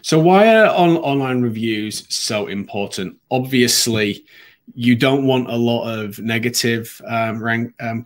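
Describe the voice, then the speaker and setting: A man hosting a live business webinar, a bit sniffly from hay fever, talking conversationally with frequent ums and restarts. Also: medium pitch. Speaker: webinar host.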